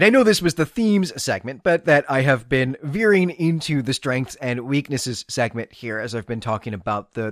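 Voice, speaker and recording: Expressive male podcast host, dry close sound. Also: medium pitch, no room sound. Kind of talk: literary review commentary, semi-scripted.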